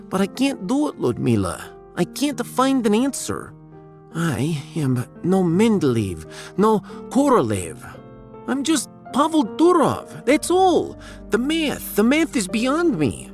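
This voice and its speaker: A man's voice: sits high, heavily acted. A man playing a fretful, self-doubting, dreamy scientist with a Russian accent in a theatrical sci-fi audio drama.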